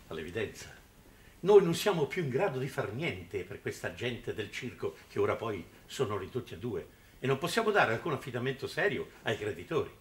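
Stern, animated older priest. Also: male voice, mid-range voice. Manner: formal, measured, reproving. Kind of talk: 1960s television drama acting.

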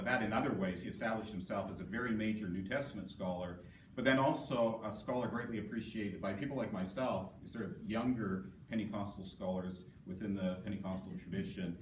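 A middle-aged man presiding over a scholarly session, giving an informal, hesitant introduction with many self-corrections. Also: lowish voice. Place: conference session room, recorded from a distance. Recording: echoey room, distant mic, loud background noise.

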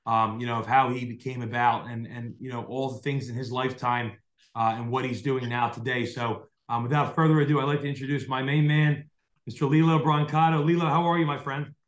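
Rambling young host with echoey mic. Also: male voice, medium pitch, level tone, brisk. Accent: South Jersey. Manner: rambling, many fillers and restarts. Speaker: podcast host. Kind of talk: casual interview. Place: dim home room at night. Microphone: large desk mic on video call.

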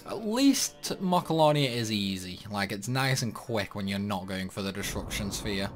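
A man's voice: fairly low pitch, lively intonation, normal speed, faint music behind. A young man giving rambling, casual commentary over a game playthrough. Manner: chatty, rambling, lots of likes and uhs. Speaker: gaming youtuber.

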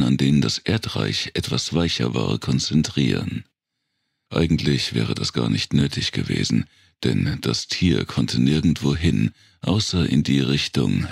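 A man with a deep, rough, gravelly voice reading a dark thriller as dramatic scripted narration. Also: no room sound, clean studio sound. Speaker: audiobook narrator.

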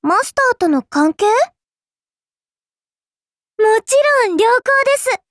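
A small girl AI servant from an anime mobile game, with a very high, childlike pitch. She speaks in sweet, polite phrases with a prim, smug, appraising edge. The recording is dry, with no room sound. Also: very animated, normal speed, fairly bright tone, no room sound, full character voice. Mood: sweet, eager.

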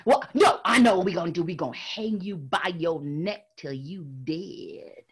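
A woman's voice: on the low side, unhurried, husky, voice pushed down. A woman voicing a scheming, gloating male fox villain from a folk tale, menacing and sly.

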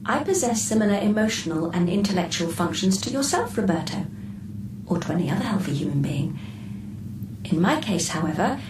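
An actress playing a poised, precise artificial intelligence with a sly streak, speaking formally and exactly in a radio drama. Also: female voice, mid-range voice, lightly acted. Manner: formal, measured, exact phrasing. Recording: roomy, slightly distant, clear background noise.

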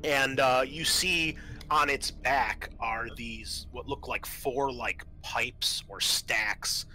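A man's voice: medium pitch, slow, pushed and bright, slight background noise, rough recording. A man narrating a tabletop roleplaying game as game master, describing scenes in an informal, improvised, hesitant way.

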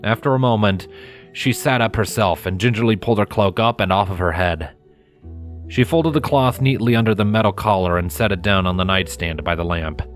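A man narrating a scripted story in a measured, expressive storytelling manner, close to the microphone. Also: fairly low pitch, soft background music.

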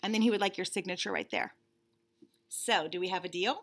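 A woman's voice: lowish voice, steady tone, brisk, fairly bright tone. A woman in her thirties reading a picture book aloud expressively, with emphatic, instructive delivery, like a teacher. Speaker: children's read-aloud storyteller, teacher. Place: small indoor room, seated.

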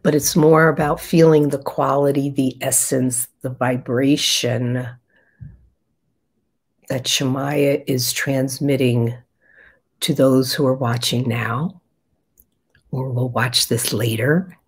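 An older woman with a raspy voice, a spiritual guide leading a soft, meditative session with chanting, heard over a video call from a room at home. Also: a bass voice, fairly even intonation. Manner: slow, soft and meditative, with pauses.

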